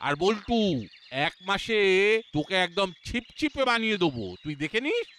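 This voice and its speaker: A man's voice: high voice, sing-song, normal speed, sharp tone, slight background noise, heavily acted. An actor playing a strict, elderly grandfatherly disciplinarian in a cartoon, speaking in a formal, commanding manner. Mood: confident. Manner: stern, commanding, formal wording. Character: strict old grandfatherly disciplinarian man drilling children. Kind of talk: cartoon voice acting. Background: background music.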